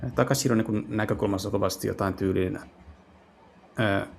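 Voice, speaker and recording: A man playing through a visual novel, translating it aloud in casual speech and often halting to search for words. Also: lowish voice, gravelly, slight background noise. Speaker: game streamer. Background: game music.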